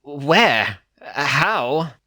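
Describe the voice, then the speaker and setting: A man's voice: on the high side, big pitch swings, extremely slow, sharp tone, heavily acted. A man voicing a frightened, desperate young male pony in an acted horror story reading, with a panicky, stammering manner. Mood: stunned.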